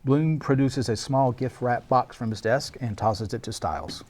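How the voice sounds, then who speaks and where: A man's voice: medium pitch, normal speed, darkish tone, a little hiss or hum. Man narrating screenplay stage directions. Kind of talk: scripted narration at a screenplay table read.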